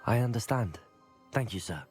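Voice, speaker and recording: A man acting a young student hero from a fantasy game. He sounds earnest and resolute, his lowish voice polite and controlled, with soft music behind it. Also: lively intonation, moderate pace, close to an everyday voice.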